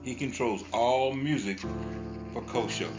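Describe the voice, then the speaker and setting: A man reading the narration for a radio drama in steady, mid-pitched recap style. The recording sounds dull and lo-fi, and music plays clearly behind him. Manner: measured recap storytelling. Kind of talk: scripted narration.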